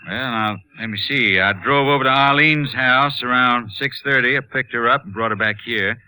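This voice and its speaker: A man's voice: medium pitch, moderate pace, bright, forceful tone, clear, resonant tone, rough recording. A man playing a folksy, obliging rancher, answering a lawman in a vintage radio drama. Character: folksy rancher witness, obliging yet disapproving. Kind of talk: 1950s radio drama acting. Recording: old radio drama recording.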